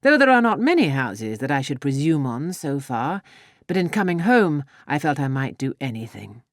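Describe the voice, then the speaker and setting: A woman voicing a well-bred, agreeable young gentleman in an audiobook. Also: fairly high pitch, sing-song, moderate pace, no echo, clean studio sound. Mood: agreeable, smooth. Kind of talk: audiobook character dialogue.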